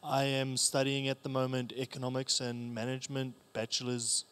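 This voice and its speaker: A man's voice: medium pitch, completely flat delivery, moderate pace, fairly bright tone, clean tone. Young kiwi guy, first year student, laid back on a panel. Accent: New Zealand. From New Zealand. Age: young adult. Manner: easygoing, laughs a bit.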